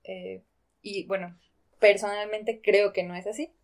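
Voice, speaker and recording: A woman's voice: medium pitch, level tone, unhurried. Woman in casual, explanatory literary discussion. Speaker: podcast co-host.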